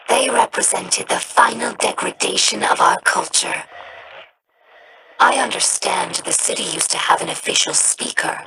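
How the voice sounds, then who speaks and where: A woman voicing a young female alien scribe in a game, earnest and devout, speaking in a measured, reverent way. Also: on the low side, vocal fry. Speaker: game character. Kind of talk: game voice lines. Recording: noisy game audio rip.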